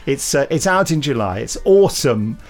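A man's voice: medium pitch, sing-song, moderate pace, music in the background. A middle-aged man hosting a podcast in a casual, jokey, self-deprecating way. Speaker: podcast host. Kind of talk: casual comic chat.

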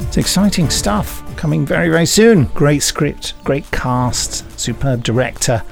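A man's voice: on the high side, lively intonation, measured pace, gravelly. Playful male podcast host over music. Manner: jokey, playful emphasis. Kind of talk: casual banter and blurb reading.

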